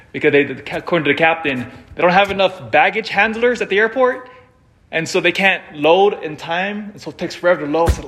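Priest preaching, measured and emphatic man. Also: fairly high pitch, a bit bright. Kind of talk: scripture reading and conversational homily.

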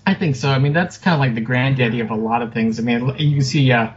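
A man's voice: medium pitch, fast talker, dull audio. A man chatting casually as an interview guest, rambling and self-deprecating. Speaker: podcast guest, author and film producer.

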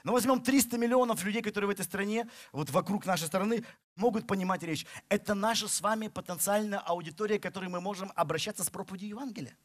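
A man's voice: high voice, quick pace. A middle-aged man from Russia preaching a sermon, with emphatic delivery that rises and falls. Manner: emphatic, rising and falling delivery. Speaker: pastor.

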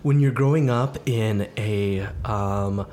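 A man's voice: lowish voice, takes it slowly, darkish tone. Taiwan-born male guest, chatty and unpolished, light room noise behind. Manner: chatty, lots of fillers and restarts. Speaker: podcast guest. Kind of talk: casual chat.

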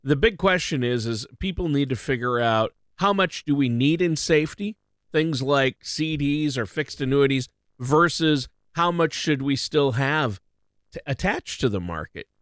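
A man's voice: mid-range voice, animated, unhurried, fairly bright tone, no echo, clean studio sound. A man hosting a financial radio show in a conversational style.

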